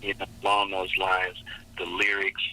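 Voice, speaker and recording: A male singer and minister with a raspy, low voice, speaking slowly and thoughtfully as a guest in an interview. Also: monotone, bright, forceful tone, poor audio. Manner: slow, measured, reflective. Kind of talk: interview over a call.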